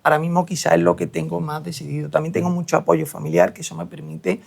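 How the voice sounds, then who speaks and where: A man's voice: on the high side, big pitch swings, fast talker, gravelly, a little mellow. A young man from Seville, a surgeon talking reflectively in an interview with frequent hesitations. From Seville, Spain. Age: young adult. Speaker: guest, hair transplant surgeon. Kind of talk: interview conversation.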